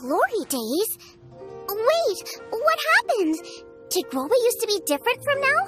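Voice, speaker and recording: An actress playing a bright, childlike, curious young girl in a video game, exclaiming and questioning in wide-eyed surprise. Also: very high-pitched, big pitch swings, unhurried, music in the background, exaggerated voice. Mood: surprised, confused. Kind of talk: video game character acting.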